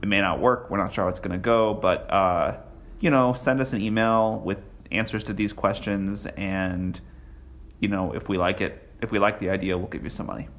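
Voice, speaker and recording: A man answering podcast interview questions in a halting way, full of restarts and fillers. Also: on the low side, fairly even intonation, fairly bright tone. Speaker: interview guest.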